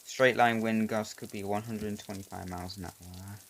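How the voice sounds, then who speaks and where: A man's voice: on the low side, steady tone, normal speed, close to an everyday voice. A man voicing an emergency weather announcer, urgent and stern, stumbling and restarting as he reads out a warning over rain noise.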